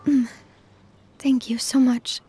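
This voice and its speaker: A high-voiced, earnest young boss. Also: female voice, flat delivery, slow pace, dark tone, soft background music. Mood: wistful, grateful. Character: poised, kindhearted young female boss, earnest and weary. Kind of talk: video game voice acting.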